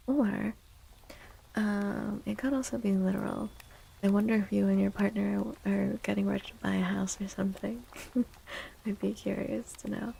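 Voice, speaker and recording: A soft-spoken woman hosting an ASMR livestream and reading tarot, gentle and unhurried, often dropping into a breathy half-whisper. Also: mid-range voice, a little hiss or hum, low-quality recording. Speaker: livestream host and tarot reader.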